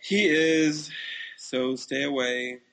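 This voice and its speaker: Male podcast sidekick, slightly high voice, laid-back and teasing, conversational. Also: fairly even intonation, takes it slowly, vocal fry, fairly bright tone, rough recording. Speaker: podcast co-host. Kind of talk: casual podcast chat.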